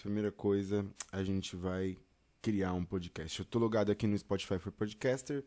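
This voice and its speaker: A man's voice: fairly low pitch, a little mellow. A man with a Brazilian accent giving an informal step-by-step video lesson in a chatty, encouraging way, addressing learners directly. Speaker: video lesson instructor on podcasting.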